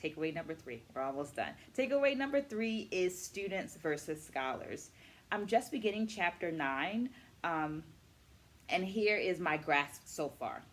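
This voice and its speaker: A middle-aged woman, an educator, hosting a book vlog with deliberate, instructional delivery and emphatic enunciation. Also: lowish voice, expressive. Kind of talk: book review vlog, explanatory talk to camera.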